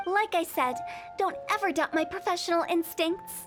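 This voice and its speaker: A cheeky young funeral director. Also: female voice, very high voice, moderate pace. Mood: playful. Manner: teasing, self-assured. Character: mischievous young woman funeral parlor director, playful and confident. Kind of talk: video game voice acting. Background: game background music.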